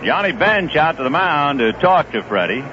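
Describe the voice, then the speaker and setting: A man calls baseball play-by-play in a slightly high voice that rises and falls freely. He speaks conversationally and lifts into bursts of excitement on big plays. The sound is a dull old radio broadcast under a clear layer of hiss. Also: moderate pace. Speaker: play-by-play announcer.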